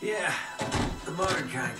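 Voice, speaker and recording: A man's voice: fairly high pitch, animated, a little slow, recorded from a distance. An actor playing a cocky, wisecracking male treasure hunter in a video game, with a wry, casual manner. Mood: wry, urgent. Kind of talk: cinematic video game acting. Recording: roomy game audio under loud music.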